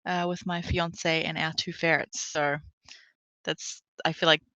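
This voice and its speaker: A woman with a New Zealand accent chats easily as a podcast co-host. Her voice is on the low side yet bright and forward. The sound is close and dry. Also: level tone, a little slow, no echo, highs cut off. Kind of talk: casual chat.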